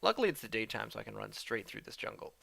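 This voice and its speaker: Chatty man with a gravelly edge. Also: on the low side, fast. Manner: chatty, self-deprecating, easily excited. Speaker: gamer commentator. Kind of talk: let's-play commentary.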